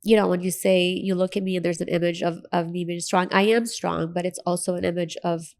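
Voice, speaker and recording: A woman's voice: lowish voice, fairly even intonation, fairly quick, a little mellow. A woman from Lebanon with an American accent and Arabic as her first language, telling her personal story as an interview guest in an earnest, emphatic way.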